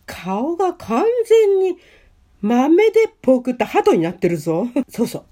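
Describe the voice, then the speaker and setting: A woman's voice: high voice, wildly swooping pitch, unhurried, dark tone, exaggerated voice. A woman playing an easygoing, oblivious, kindly male schoolteacher in an amateur radio drama, with casual masculine speech. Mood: teasing.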